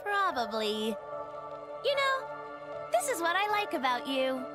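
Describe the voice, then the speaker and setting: Breezy teasing sidekick girl, high lively voice, casual, music behind. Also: a little slow, heavily acted. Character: breezy, teasing, loyal female companion to a prince. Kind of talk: voiced game cutscene acting.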